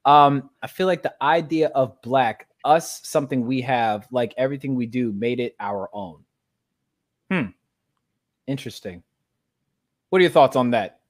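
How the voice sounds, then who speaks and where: A man's voice: mid-range voice, a little slow, pauses often. An American man hosting a livestream, chatting casually in a slangy, joking way. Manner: slangy, joking, animated when quoting others. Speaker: host of a livestream dialogue show. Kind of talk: casual chat.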